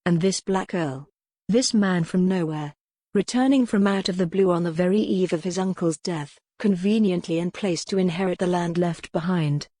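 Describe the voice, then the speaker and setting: A woman's voice: fairly low pitch, warm tone, no echo. A woman giving a scripted, dramatic narration of a historical romance audiobook.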